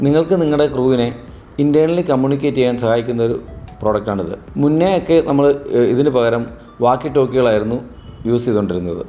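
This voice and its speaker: A man's voice: medium pitch, lively intonation, fast talker, dark tone. A man presents a product review, explaining and rephrasing as he demonstrates. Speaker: product reviewer for a camera store. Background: background music.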